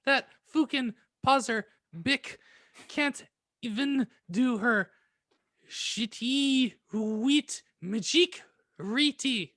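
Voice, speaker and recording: A man's voice: very high voice, extremely slow, sharp tone, no echo, clean studio sound, full character voice. A man voicing a petulant, sweary teenage goth vampire girl in a comic reading. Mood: scornful. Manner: petulant, foul-mouthed.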